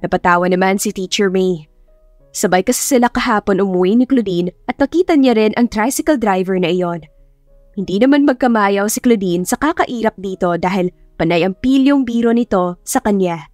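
A woman's voice: mid-range voice, normal speed, a little mellow, no room sound. A woman reading scripted story narration as an audiobook narrator. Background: music.

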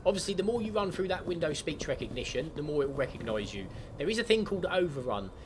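A man chatting casually through a step-by-step software tutorial for gamers. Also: fairly high pitch, sing-song, quick pace, noisy background. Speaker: gaming tutorial host. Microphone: desktop microphone, voice-over of screen capture.

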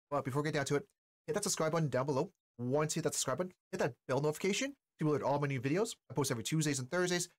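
A man's voice: a little high, no echo. A man in his forties giving casual, slangy reaction commentary to camera as a host. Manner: slangy, informal. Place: small indoor streaming room.

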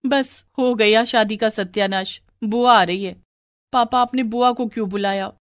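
Excitable girlish young bride, put on by a woman. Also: on the high side, measured pace, no room sound, studio quality, natural voice. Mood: annoyed. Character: excited, giggly young bride-to-be, grumbling about relatives. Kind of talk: animated Hindi family story acting.

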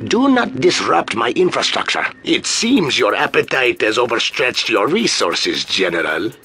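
A pompous, wildly expressive warlord. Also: male voice, mid-range voice, slight background noise, exaggerated voice. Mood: scornful taunting. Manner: theatrical, pompous, taunting, deliberate. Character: proud, menacing male guerrilla commander in chief. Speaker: villain general taunting the player. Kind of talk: scripted video game villain taunts.

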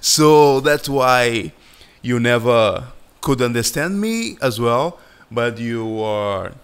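A man with a Brazilian Portuguese accent, a Portuguese native speaker talking casually to his viewers as an animator hosting his channel, unhurried and searching for words. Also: medium pitch, fairly bright tone, smooth. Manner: slow and halting, searching for words, friendly. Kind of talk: casual vlog chat over a screen recording.

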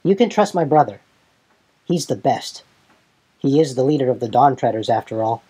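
A man voicing an earnest, gentle young boy in a fan-fiction audiobook, soft and slightly husky. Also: medium pitch, lively intonation, moderate pace, rough recording. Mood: reassuring. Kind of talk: audiobook character dialogue.

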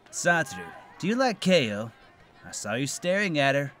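Aloof, self-important schoolboy acted by a man, animated. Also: fairly high pitch, takes it slowly, a faint crowd, exaggerated voice. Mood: lecturing. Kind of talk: anime parody fan dub.

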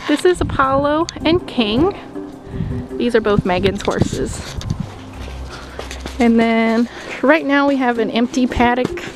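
A young woman casually narrating a walking tour of a horse barn, upbeat and chatty as she points things out. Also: fairly high pitch. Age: young adult. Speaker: vlogger giving a barn tour. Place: horse barn and snowy paddocks outdoors.

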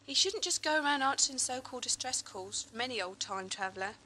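A woman's voice: high voice, lively intonation, quick pace, pushed and bright, slight background noise, poor audio. A woman playing an anxious, forthright young space traveller in a science-fiction audio drama. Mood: indignant. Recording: amateur 1980s drama tape with music.

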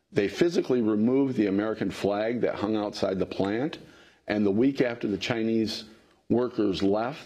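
Middle-aged man, working-class interviewee, husky and lowish, earnest, lapel mic in studio. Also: mellow. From Illinois. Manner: earnest, grows heated and higher when indignant. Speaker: factory worker and interviewee. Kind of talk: interview answers. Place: television studio.

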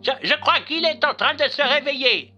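A man's voice: very high-pitched, moderate pace, pushed and bright, lo-fi sound, faint music behind, voice pushed up, heavily acted. A man voicing a dotty, hard-of-hearing elderly woman on the phone, hesitant and querulous, in an audio drama.

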